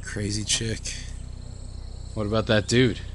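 A man's voice: fairly low pitch, fairly even intonation, slow pace, background noise. An actor playing a brash, cynical, foul-mouthed male outlaw in an audio drama. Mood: baffled. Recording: clear outdoor ambience behind.

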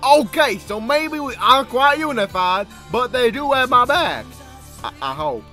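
A man's voice: very high voice, animated, a little slow, pushed and bright, faint music behind, heavily acted. A man voicing a brash, hot-tempered, dim cartoon cowboy with a drawling, theatrical Southern American cowboy accent. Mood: cocky. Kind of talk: comedic animated voice acting.

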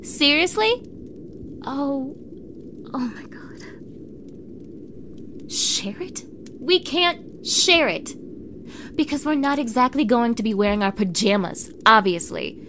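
Snippy, high-voiced young mean girl played by a woman. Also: slow pace, long pauses, background noise. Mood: exasperated, flustered. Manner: exasperated, bossy, sarcastic. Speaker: audio roleplay performer. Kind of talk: acted audio roleplay drama, addressed to the listener.

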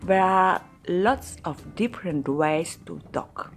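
A woman in her forties whose first language is Polish, narrating a sailing vlog in a slow, careful, explaining manner. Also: on the low side, animated, soft background music. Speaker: vlogger narrator. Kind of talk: voice-over narration.

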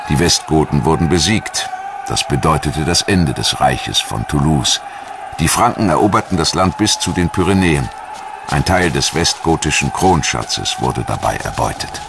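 Very deep-voiced male documentary narrator. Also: a bit bright, slight background noise. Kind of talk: scripted history documentary narration.

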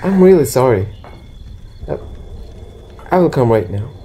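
A man acting a down-on-his-luck, apologetic rat trap seller in a student radio drama, halting and pleading. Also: mid-range voice, very animated, mellow, a little hiss or hum.

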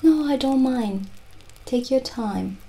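A middle-aged woman playing a gentle, mystical witch who sells potions, soft-spoken and soothing in an improvised ASMR roleplay. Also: high voice, slow, voice pushed up. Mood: friendly, unhurried. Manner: soft-spoken, soothing, lapsing into breathy near-whispers. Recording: soft background music. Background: soft music.

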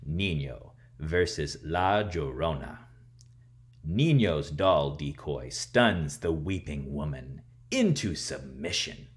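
A man in his thirties reading a picture book aloud and explaining things to children in a theatrical, expressive way. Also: a bass voice, slow. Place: small room at home, indoors.

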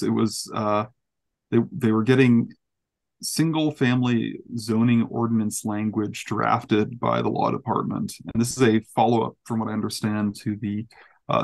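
A man's voice: lowish voice, gravelly. A man in his twenties casually recapping a meeting as a panelist, tentative and correcting himself as he goes. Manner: tentative, hedging, self-correcting. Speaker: panelist reporting on council meetings. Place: indoor room on video call. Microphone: headset on video call.

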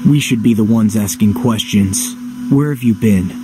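Game hero, male actor: cynical young swordsman, low, gruff and curt, suspicious of everyone, music underneath. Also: normal speed, natural voice, poor audio. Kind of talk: video game voice acting. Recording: music behind.

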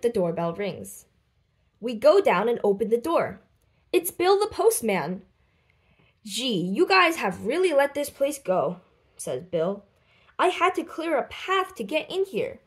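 A woman reading a children's book aloud expressively, with deliberate, dramatic phrasing and lifted voices for dialogue. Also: on the high side, big pitch swings. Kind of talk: expressive storybook read-aloud.

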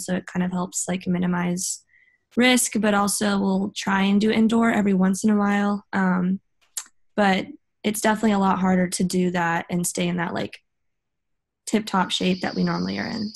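A woman's voice: mid-range voice, little pitch movement, moderate pace, creaky. An American woman in her early twenties, giving casual explanatory answers in an interview over a video call. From South Carolina, USA. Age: early 20s. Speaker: college volleyball player, interview guest. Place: indoor room on a video call.

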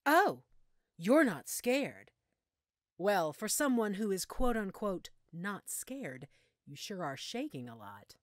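A woman's voice: mid-range voice, big pitch swings, takes it slowly, no echo, studio quality. Woman acting a mocking, swooping female mad scientist. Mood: mocking, amused. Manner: mocking, theatrical, taunting endearments. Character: menacing, gloating female mad scientist obsessed with immortality. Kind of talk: audio roleplay acting addressed to listener.

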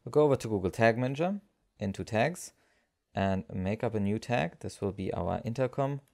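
A man presents a screencast tutorial in a low voice with a creaky edge. His tone is dark and relaxed, and he walks through each step in an explanatory way. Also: moderate pace. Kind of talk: screencast software tutorial narration.

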